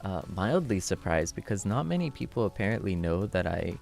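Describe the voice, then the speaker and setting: Young man, casual stream chat, gravelly low tone, swings up and down in pitch, soft music behind. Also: very animated, brisk. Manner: laughing often, thanking viewers warmly, mixing in Japanese words; shifts between low chill voice and high voice.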